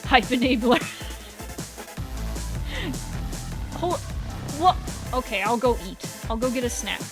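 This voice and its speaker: A woman's voice: on the high side, animated, takes it slowly, music in the background. A woman livestreaming, chatting casually with viewers in a playful, teasing way and swearing now and then.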